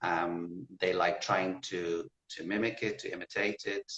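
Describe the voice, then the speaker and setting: A man with an Irish accent answering in a casual interview, thoughtful and hesitant, pausing to rephrase. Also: low voice, fairly even intonation, fairly bright tone. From Ireland. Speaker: guest, English teacher. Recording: roomy, slightly dull sound.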